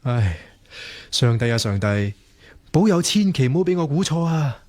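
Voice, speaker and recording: A man's voice: medium pitch, big pitch swings, moderate pace, darkish tone, no echo, close to an everyday voice. An actor with a Hong Kong Cantonese accent playing a lovesick, self-doubting young man in a radio drama, theatrical and playfully flowery. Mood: anxious, rueful. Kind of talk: radio drama acting with comic inner monologue.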